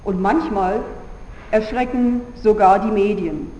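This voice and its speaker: A woman from Berlin giving a formal, measured conference speech as a politician, earnest, with deliberate pauses. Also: medium pitch, dark tone, slight background noise. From Berlin, Germany. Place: large conference hall. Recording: echoey hall, dull sound, faint ambience.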